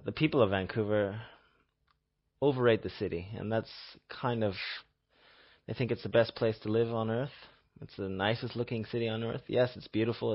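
Musing man, lowish voice. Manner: musing, hesitant. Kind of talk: casual spoken answer. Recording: slightly muffled recording.

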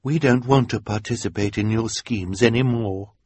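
A man's voice: on the low side, normal speed, a little mellow, breathy edge, no room sound. A male narrator voicing a weary henchwoman who is tired of her boss's schemes. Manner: weary, sighing.

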